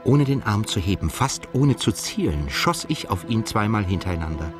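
Brooding male narrator for literary radio drama, low register, measured and melancholy, music underneath. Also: big pitch swings. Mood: tense, numb. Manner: measured, introspective, scripted. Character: brooding, melancholy male journalist telling his story. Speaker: narrator and protagonist.

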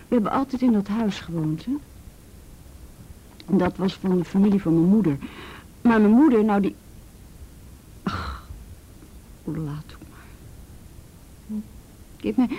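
A woman playing an ashamed, abused teenage girl in a radio drama, halting and repeating herself, often sinking to a breathy whisper. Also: mid-range voice, dark tone, dull audio. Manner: halting, repeats words, tag questions. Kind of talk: naturalistic radio drama acting.

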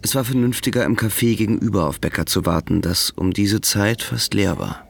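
An actor narrating a literary radio play in a measured, matter-of-fact storytelling manner. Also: male voice, fairly low pitch, vocal fry.

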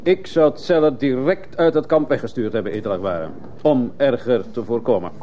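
An actor as a male sergeant on the witness stand, stiff and deferential, dark in tone. The old radio play is muffled with faint hiss. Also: medium pitch, animated, normal speed, lightly acted. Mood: stiff, disapproving. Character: dutiful, disapproving army sergeant testifying in court. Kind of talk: radio drama acting.

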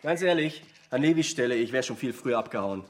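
A man's voice: medium pitch, animated, quick pace, a little hiss or hum, lightly acted. An actor playing a blunt, resentful teenage boy in a radio drama, talking in youth slang. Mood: bitter.

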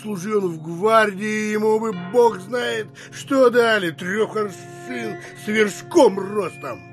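High-pitched, blustering stubborn landowner. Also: male voice, vocal fry, soft background music, full character voice. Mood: proud boasting. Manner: emphatic, blustering, drawn-out boasting. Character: bullish, stubborn provincial landowner haggling and boasting. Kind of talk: theatrical audio drama acting in Russian classic.

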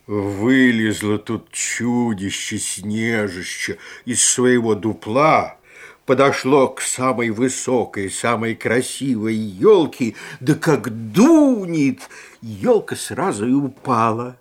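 Male storyteller for a children's radio tale, unhurried delivery, medium pitch. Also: expressive. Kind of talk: children's fairy-tale narration.

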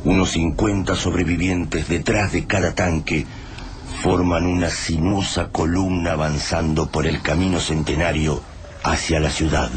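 A man's voice: low voice, little pitch movement, a little hiss or hum. A man acting as the grave narrator of a radio drama about war, speaking in a solemn, literary way. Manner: slow, solemn, literary delivery. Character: grave male narrator of a post-apocalyptic war tale. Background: music.

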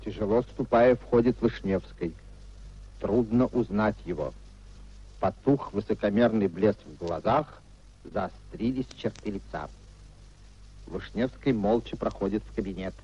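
A male narrator of a 1950s radio play, reading stage scenes dramatically. His voice is dark and rather husky, on a muffled old recording. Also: fairly low pitch, unhurried.

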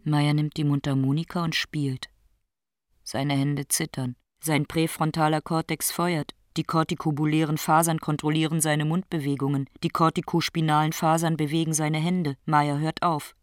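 An actor playing a detached narrator in a radio drama, speaking in terse, clinical, emphatic statements. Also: male voice, on the high side, level tone, normal speed, no room sound, clean studio sound, natural voice. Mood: clinical, insistent. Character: detached clinical male narrator reporting a man's decline.

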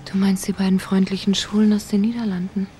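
A woman's voice: mid-range voice, little pitch movement, fast, mellow, faint music behind, close to an everyday voice. An actress playing a sleuth's wry, sceptical woman companion in a German radio crime drama, with a dry, teasing manner. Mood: wry, curious.

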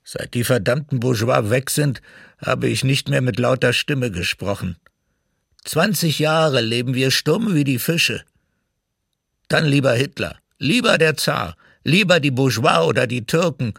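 Gravelly, swooping, embittered old man. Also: mid-range voice, animated, measured pace, a bit bright, dry recording, studio quality, exaggerated voice. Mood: bitter defiance. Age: older. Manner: emphatic, declamatory. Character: embittered old grandfather, defiant, railing against his oppressors. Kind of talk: documentary radio drama monologue.

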